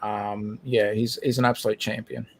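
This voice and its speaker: A man's voice: lowish voice, little pitch movement, normal speed, creaky, slight background noise. A man with an Australian accent, a guest chatting casually on a livestream, rambling with many fillers and restarts. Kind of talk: casual chat.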